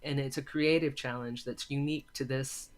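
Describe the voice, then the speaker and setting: A man being interviewed, talking thoughtfully and thinking aloud in short, halting phrases. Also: mid-range voice, fairly even intonation. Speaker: interview guest, audio drama maker and filmmaking teacher.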